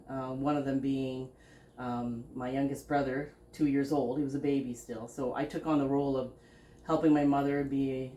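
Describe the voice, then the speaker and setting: Low-voiced woman telling her story in an echoey room. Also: a little mellow. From Philippines, raised in Canada. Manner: halting, many fillers and restarts, laughs often. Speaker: podcast host and business founder. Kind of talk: casual interview, telling her life story. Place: living room, seated on a sofa. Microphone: stand mic between speakers.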